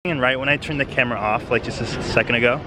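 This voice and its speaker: Young American man, casual vlogger, mid-pitched, rambling with fillers, handheld camera outdoors over clear street noise. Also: rapid-fire. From United States. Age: young adult. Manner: rambling, filler-heavy, chatty. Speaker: vlogger, study-abroad student. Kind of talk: casual vlog narration.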